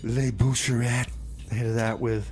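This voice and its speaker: A man's voice: medium pitch, little pitch movement, moderate pace, darkish tone, natural voice. Man playing rambling radio DJ, noisy background. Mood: chatty. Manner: rambling, repeats himself. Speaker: radio show host and DJ. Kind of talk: casual improvised radio banter.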